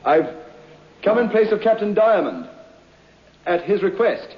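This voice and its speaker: A nervous, courteous man in vintage radio horror. His raised, high voice projects halting, formal phrases through a dull old recording. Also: lively intonation. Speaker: actor in a radio play.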